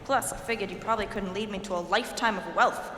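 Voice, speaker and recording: A woman's voice: medium pitch, fast talker, fairly bright tone, close to an everyday voice. An actress playing a tough, foul-mouthed female thief leader in an audio drama, sarcastic and brash. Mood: wry. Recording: roomy hall reverb, faint noise.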